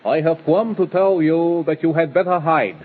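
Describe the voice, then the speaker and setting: A man's voice: on the high side, normal speed, close to an everyday voice. Formal, declamatory messenger male actor for children's radio fantasy, earnest, slightly raised voice, muffled vintage sound. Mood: urgent, formal. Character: earnest, formal little messenger bringing dire warnings. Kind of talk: old-time children's radio fantasy acting.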